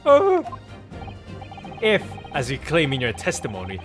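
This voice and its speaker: Man playing an animated, earnest defence lawyer. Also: fairly high pitch, unhurried, over music. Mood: insistent. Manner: formal, emphatic courtroom argument. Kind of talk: video game character voice reading.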